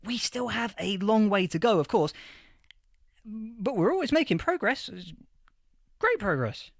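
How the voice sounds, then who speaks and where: A man playing an eager, flustered history professor in a fiction podcast interview, stammering and repeating words excitedly. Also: high-pitched, a little slow, no echo, studio quality, heavily acted. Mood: defensive, excited. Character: eager, flustered male history professor of a far-future age. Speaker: interviewed academic expert.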